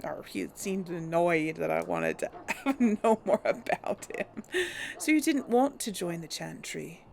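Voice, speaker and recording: A young woman musing her way through a role-play game, chatty and full of little hums. Her voice has a gravelly fry to it, and faint noise sits behind her at home. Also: fairly low pitch, unhurried, darkish tone.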